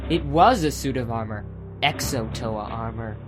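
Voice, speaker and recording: A man's voice: medium pitch, moderate pace. Male audiobook narrator over prominent music. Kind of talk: scripted dramatic audiobook narration.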